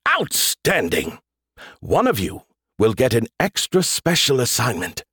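A man voicing a smug, mischievous artificial intelligence in an audiobook, with a theatrical, drawn-out delivery. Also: mid-range voice, huge pitch swings, fairly bright tone, slightly rough, dry recording, studio quality, exaggerated voice. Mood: teasing, dramatic. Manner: showy, drawn-out dramatic delivery.

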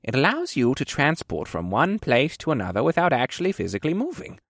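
A man's voice: mid-range voice, wildly swooping pitch, a little fast, creaky, fairly bright tone, husky, no room sound, studio quality, pitched above the performer's own voice, heavily acted. A man voicing a frail but spry old male quartermaster, amused, patient and instructive, in an audiobook. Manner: chuckling, instructive. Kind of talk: audiobook character dialogue.

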